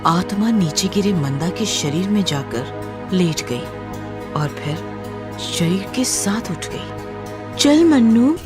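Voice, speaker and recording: Slow, animated woman narrating horror. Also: fairly low pitch. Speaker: storyteller, narrator. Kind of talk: dramatic scripted horror narration. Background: background music.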